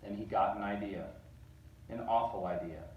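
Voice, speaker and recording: Man reading a storybook aloud, animated. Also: on the low side, moderate pace, dull audio, slight background noise. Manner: animated, rising on repeated words. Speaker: reader. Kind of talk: reading a picture book aloud. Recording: roomy echo, distant mic.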